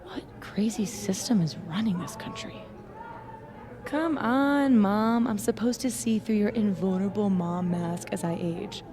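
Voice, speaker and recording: A woman's voice: mid-range voice, a little slow, close to an everyday voice. Woman as a cheeky young woman amid chatter. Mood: teasing, exasperated. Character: cheeky, outspoken grown daughter needling her mother. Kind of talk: naturalistic video game acting.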